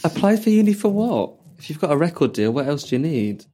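Mellow-voiced interview host. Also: female voice, deep voice, big pitch swings, fairly quick, husky. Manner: enthusiastic, asks follow-up questions. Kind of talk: casual interview.

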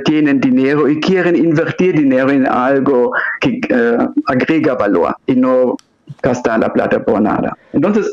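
A man from Germany with an Upper Franconian German accent and a rolled R, speaking Spanish learned as an adult, being interviewed on the radio as a coach, halting often with "eh". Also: medium pitch, highs cut off. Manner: halting, with many hesitations. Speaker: guest coach.

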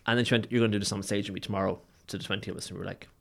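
A man's voice: lowish voice, fairly even intonation, racing, gravelly, fairly bright tone, a little hiss or hum. A man on a podcast, telling enthusiastic anecdotes in casual chat.

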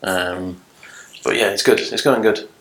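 A man's voice: fairly low pitch, a little slow, a bit bright, slight background noise, poor audio. A man speaking as a guest on a casual podcast, earnest and plain-spoken with frequent ums.

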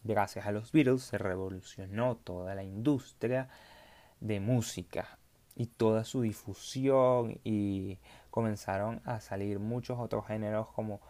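A man's voice: on the low side, steady tone, a little slow, warm tone. A man hosting a solo podcast, talking casually with many fillers and restarts. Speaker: podcast host.

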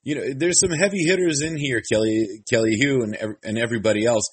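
Man, interviewer, husky voice, rambly, lots of "you know". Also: medium pitch, fast. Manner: rambling, filler-heavy questions. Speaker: entertainment interviewer. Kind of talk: press interview.

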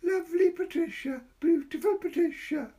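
A man's voice: very high-pitched, normal speed, warm tone, voice pushed up. A man voicing a cheeky, playful little boy in a storybook reading. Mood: playful, excited.

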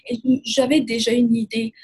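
Husky, even-toned woman. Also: fairly high pitch, normal speed. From Burkina Faso. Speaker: guest, immigration lawyer. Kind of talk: interview answers.

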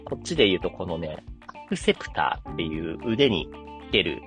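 A man's voice: low-pitched, big pitch swings, brisk, fairly bright tone, soft background music. A man hosting a podcast, casually and enthusiastically explaining and summarizing an anime. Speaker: podcast host.

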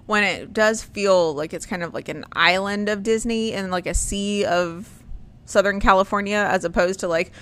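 A woman's voice: mid-range voice, moderate pace, fairly bright tone. A woman, hosting a casual chat and rambling with lots of ums and likes.

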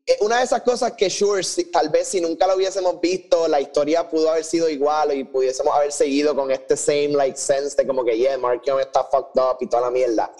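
A man's voice: on the high side, fairly even intonation, a little fast, few pauses, darkish tone. A man with a Puerto Rican accent co-hosting a casual review chat, swearing freely and switching between Spanish and English. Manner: salty, swearing, mixes English phrases into Spanish. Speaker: podcast host.

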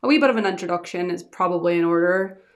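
A young woman with a Northern Irish accent that has picked up some Scottish tones talks into a podcast microphone. Her voice sits a little low for a woman. She chats in a rambling, earnest way as a solo podcast host. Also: fast talker. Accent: Northern Irish, softened by Scottish. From Northern Ireland.

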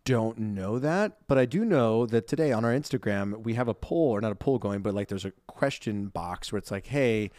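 A young man co-hosting a podcast, reading listener reviews in a lively, self-deprecating chat and laughing easily. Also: lowish voice, normal speed, hardly any pauses, no room sound, clean studio sound. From Ireland. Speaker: podcast co-host.

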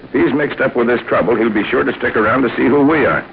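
A tinny, noisy vintage radio recording. A man plays a steady expedition leader with a mid-pitched voice, giving measured, reassuring instructions in adventure serial style. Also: creaky, lightly acted. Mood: calm, instructive. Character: Uncle Jim, steady, commanding adult male expedition leader. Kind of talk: old-time radio serial acting.

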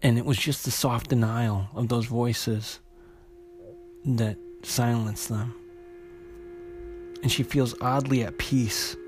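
A man hosts an informal story recap with music clearly playing behind him. His voice sits at an average pitch. He narrates in a halting way, circling back to repeat words and restart phrases as he goes. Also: steady tone. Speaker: host retelling a comic book story.

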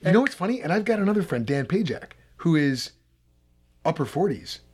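Male podcast host, 30s, slightly high voice, casual and playfully needling conversational delivery. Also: very animated, fairly quick, a little mellow, slightly rough. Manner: teasing, bantering. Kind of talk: casual chat.

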